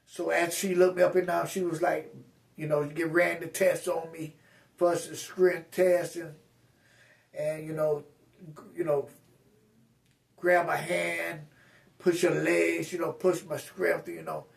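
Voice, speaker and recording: A man's voice: a little high, little pitch movement, a little slow, creaky, pauses often, far from the mic. A man vlogging a casual personal update to his viewers, with slurred speech and a rambling, straight-talking way of speaking full of fillers and restarts.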